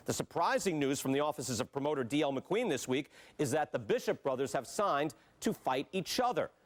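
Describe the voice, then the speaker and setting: A man's voice: medium pitch, lightly acted. A man performing as a polished TV sports studio host, reading authoritative scripted boxing news in a video game.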